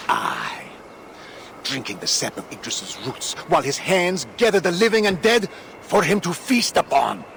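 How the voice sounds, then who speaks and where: High, creaky, weary laborer of the dead. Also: male voice, very animated, normal speed, fairly bright tone, a little hiss or hum, full character voice. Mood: dark, ominous. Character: weary enslaved male bridge mender among the dead. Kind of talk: video game character acting.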